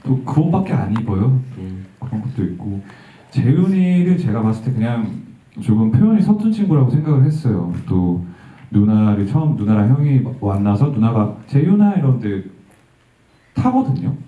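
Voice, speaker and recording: A male actor answering an audience question, thoughtful and halting, with soft laughs. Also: medium pitch, big pitch swings. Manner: reflective, halting, laughs softly. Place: event hall. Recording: tinny, distant sound in a roomy hall.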